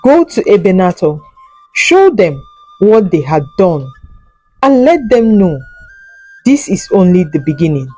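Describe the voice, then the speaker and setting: A woman's voice: fairly low pitch, big pitch swings, measured pace, pauses often, mellow, soft background music, rough recording. A woman storyteller playing a ruthless older warrior king, a grieving father who gives menacing commands in a folk tale. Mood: cold fury.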